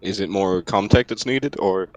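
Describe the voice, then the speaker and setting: A man's voice: on the low side, moderate pace, no echo. A man playing a tabletop roleplaying game, chatting casually as he plans with the group. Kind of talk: casual planning chat.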